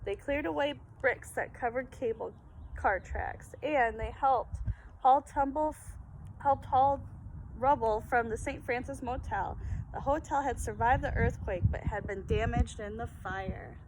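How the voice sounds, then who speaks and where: A woman's voice: fairly high pitch, a little slow. A woman presenting for children in a read-aloud and casual demonstration style, with a noisy recording. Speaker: storytime presenter.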